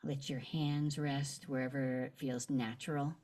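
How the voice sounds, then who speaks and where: Slow, low-voiced woman leading gentle meditation. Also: level tone. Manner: gentle, unhurried, with long pauses. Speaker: guided meditation leader. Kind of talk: slow guided meditation and visualization.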